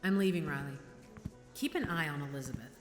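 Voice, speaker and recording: A woman's voice: low-pitched, a little fast, soft background music, close to an everyday voice. A woman playing a composed, cautious character with a discreet manner in an audio drama. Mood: businesslike.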